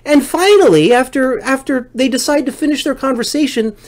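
A man's voice: very high voice, fairly quick. A middle-aged man telling a comic story as a livestream host, exasperated and swearing freely. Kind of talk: comedic storytelling monologue.

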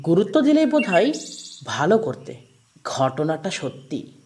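Woman acting animated, foreboding colleague. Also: on the low side, a little slow, a little hiss or hum. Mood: grave. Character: earnest, foreboding colleague bearing grim warnings.

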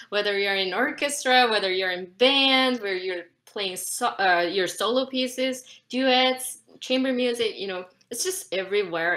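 A woman in her thirties from South Korea, teaching an online flute class in a chatty, animated way with frequent laughter, recorded over a webcam video call from a room at home. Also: medium pitch.

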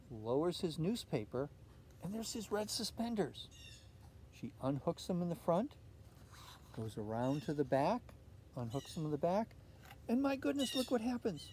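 Animated older man reading a picture book. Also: fairly high pitch. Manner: expressive, asks tag questions. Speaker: storybook reader. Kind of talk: picture-book read-aloud with questions to young listeners. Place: outdoors in a leafy fenced yard. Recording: outdoor recording with faint ambient noise.

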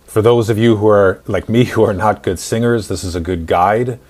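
A man in his forties narrating a screen-recorded software tutorial in an instructional, step-by-step way. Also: on the low side, fairly even intonation, moderate pace. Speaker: tutorial host.

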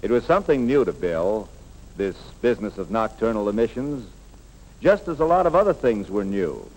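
A man's voice: lowish voice, big pitch swings, normal speed, darkish tone. A man playing a patient, plain-spoken middle-aged school coach in a 1950s educational film, explaining things earnestly. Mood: reflective narration. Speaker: coach and narrator. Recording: echoey, noisy 1950s film soundtrack.

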